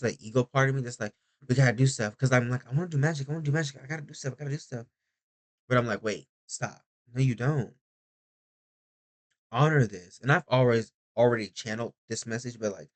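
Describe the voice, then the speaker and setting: A young man rambling through a casual video diary, chatty and often interrupting himself. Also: medium pitch. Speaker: vlogger.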